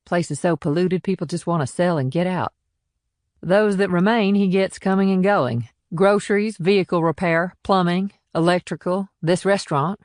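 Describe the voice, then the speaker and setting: A wry, weary small-town policewoman played by a male audiobook narrator, pitched up from his usual voice. The delivery is folksy and matter-of-fact, in short clipped sentences. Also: no echo, studio quality. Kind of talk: audiobook character dialogue.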